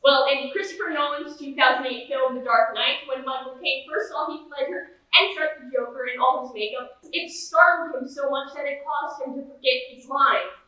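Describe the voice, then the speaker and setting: Very roomy sound, teenage girl as literal-minded male logic character, pedantic, formal, measured, bright voice, student stage reading. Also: high voice, lo-fi sound. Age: teens. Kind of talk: student readers theater dialogue. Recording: very echoey room, distant microphone.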